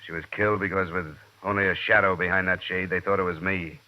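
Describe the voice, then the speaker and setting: An actor playing a blunt, driven American insurance investigator in an old radio detective drama, with a clipped, world-weary delivery. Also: male voice, deep voice, level tone, quick pace, close to an everyday voice. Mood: grim, bitter. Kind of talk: 1940s-50s radio detective acting. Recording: muffled old radio recording, faint hiss.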